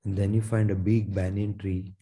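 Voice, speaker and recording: A man leading a guided meditation in a soothing, gentle manner, leaving long pauses between his phrases. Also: lowish voice, level tone, husky. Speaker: meditation guide, Reiki teacher.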